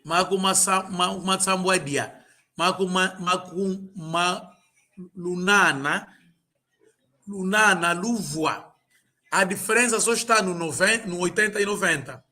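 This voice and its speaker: A man's voice: high-pitched, slow pace, sharp tone. A man tutoring a student in an informal lesson, patiently repeating and drilling words. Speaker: language tutor.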